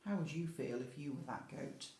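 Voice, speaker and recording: A woman's voice: low voice, normal speed, vocal fry, warm tone, roomy sound. A woman speaking as an infant school teacher, explaining simply and expressively to young children. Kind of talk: children's story reading and questions.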